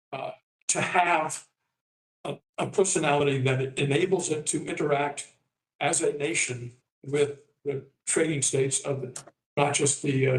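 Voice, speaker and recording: An older man who grew up in Taiwan, moderating a panel and introducing speakers in a halting, rambling way full of fillers and restarts. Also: mid-range voice. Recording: echoey room.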